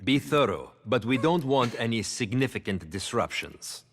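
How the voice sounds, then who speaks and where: An actor playing a stern, authoritative Soviet party official in a game cutscene, speaking formally and deliberately. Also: male voice, mid-range voice, expressive, slight background noise, natural voice. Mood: formal, commanding. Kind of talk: dramatic video game cutscene acting.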